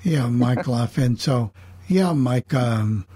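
Casting: male moderator, mellow husky voice, middle pitch, dry close sound, casual delivery. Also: lively intonation, slow pace, no echo. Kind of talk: casual group chat.

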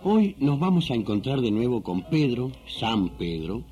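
A man's voice: mid-range voice, sing-song, unhurried, warm tone, soft background music. A man hosting and narrating a radio drama from a script, in a folksy way that addresses listeners as friends. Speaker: host and narrator.

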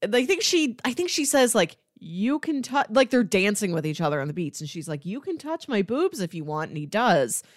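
A woman co-hosting a podcast in a casual film discussion, animated and given to acting out dialogue as she talks. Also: medium pitch, fairly quick, hardly any pauses, dry recording, clean studio sound. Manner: animated, acts out characters' lines. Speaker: podcast co-host.

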